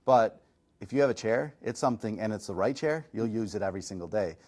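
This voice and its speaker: A middle-aged man, a chiropractor, answering interview questions in a relaxed, self-deprecating, chatty way. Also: lowish voice, fast. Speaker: chiropractor and massage chair reviewer. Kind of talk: casual podcast interview answers.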